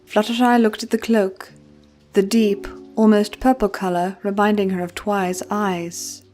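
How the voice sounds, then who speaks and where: A woman's voice: medium pitch, level tone, unhurried, warm tone. A woman reading a scripted story as an audiobook narrator, in a dramatic storytelling style. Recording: faint music behind narration.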